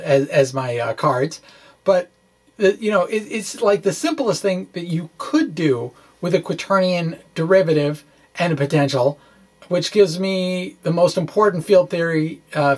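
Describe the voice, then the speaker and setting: A man giving an informal explanatory lecture in a chatty, folksy way, with wry asides and a halting delivery. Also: sits high. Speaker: physics blogger presenting a lesson. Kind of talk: informal explanatory lecture, dramatic blog reading.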